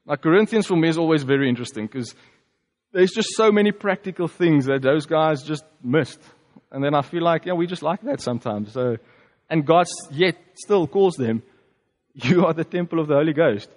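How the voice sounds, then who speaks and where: A man with a South African accent, a native Afrikaans speaker, giving a reflective personal testimony as a preacher, conversational and halting with fillers. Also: on the high side, lively intonation, a little mellow, big-room sound.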